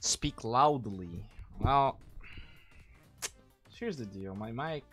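A young man from Brazil, chatting casually while streaming a game, thanking viewers and thinking out loud. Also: mid-range voice, animated, very slow. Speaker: streamer and caster. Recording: faint music behind, weak mic.

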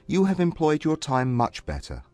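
An actor playing a reserved, haughty gentleman with formal, stiff manners in a dramatized audiobook. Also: on the high side, wildly swooping pitch, moderate pace, no echo, faint music behind. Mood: courteous.

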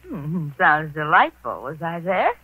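A flighty, bright-voiced detective's wife. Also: female voice, low-pitched, big pitch swings, measured pace, breathy edge, no echo, full character voice, poor audio. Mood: dazed, airy. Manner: flighty, coy, airy. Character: detective's dazed, breezy, playful young wife. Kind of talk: 1940s radio mystery acting.

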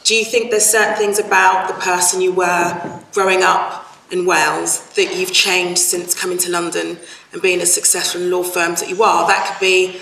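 A woman's voice: fairly low pitch, flat delivery, moderate pace, vocal fry, a bit bright, a little hiss or hum. A woman from the audience, a news presenter, asking an articulate, pointed question. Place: large formal hall. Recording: distant, echoey hall audio.

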